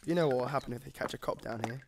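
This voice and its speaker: An actor playing a wary, cynical police partner in a radio drama, blunt and warning. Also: male voice, mid-range voice, very fast, creaky, far from the mic, noisy background, natural voice.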